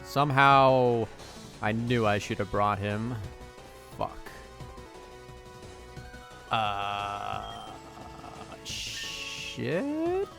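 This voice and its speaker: A young man hosting a let's play with casual commentary, his voice a little off from allergies, who swears and rants in sudden excited bursts. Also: fairly low pitch, extremely slow, gravelly, long pauses, over music. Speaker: gaming video host.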